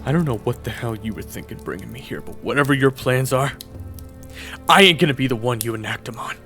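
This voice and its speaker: A man's voice: medium pitch, expressive, brisk, creaky, pushed and bright, pitched above the performer's own voice. A man voicing a wary, exhausted young soldier who stammers and swears defensively, in an audio roleplay with music behind. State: exhausted and hungry.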